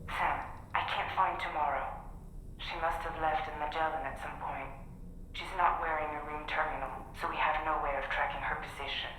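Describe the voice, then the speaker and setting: A woman's voice: deep voice, steady tone, moderate pace, sharp tone. A woman playing an anxious, caring crewmate in a video game, earnest and urgent. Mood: worried, pleading. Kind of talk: dramatic video game cutscene acting. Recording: very echoey with faint background noise.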